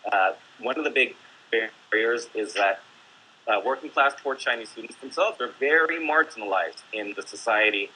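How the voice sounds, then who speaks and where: A man's voice: medium pitch, moderate pace, sharp tone, a little hiss or hum, rough recording. A man, a teacher, speaking as a guest on a discussion panel, explaining earnestly with many fillers.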